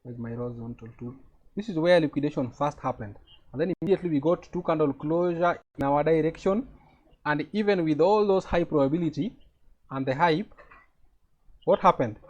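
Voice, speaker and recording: A man's voice: fairly high pitch, fairly quick, a little mellow, muffled recording. A man with a Kenyan accent teaching a live trading lesson over a video call, explaining step by step and checking that his students follow.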